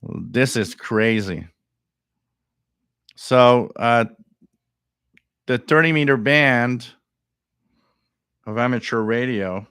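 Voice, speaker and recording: Male live-stream host, smooth and clear, dry close mic, rambling and musing, gets worked up over odd signals. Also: mid-range voice, very slow, pauses often, fairly bright tone, no echo. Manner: musing, thinks aloud, repeats phrases. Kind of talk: casual live commentary.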